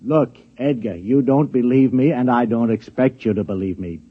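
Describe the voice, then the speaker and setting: An American actor playing a flustered, middle-aged lab security chief in a 1950s radio drama, stammering, insistent and coaxing. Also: male voice, medium pitch, normal speed, slightly rough, close to an everyday voice. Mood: urgent, pleading. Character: flustered, pleading security chief of an atomic laboratory. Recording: muffled old radio recording.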